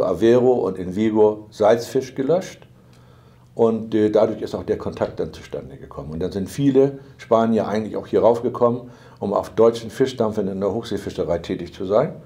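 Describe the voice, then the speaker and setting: A middle-aged man, a company manager, calmly explaining history in an office interview. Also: lowish voice. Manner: measured, matter-of-fact, occasional fillers. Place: office with file binders.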